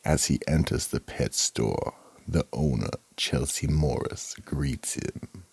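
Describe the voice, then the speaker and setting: A man performing as the sinister host and narrator of an audio mystery play, with a gravelly, husky voice and an ominous theatrical manner. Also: extremely low pitch, slow pace, darkish tone, exaggerated voice. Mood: ominous, measured. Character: sinister male host of a mystery radio show. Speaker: narrator and horror anthology host.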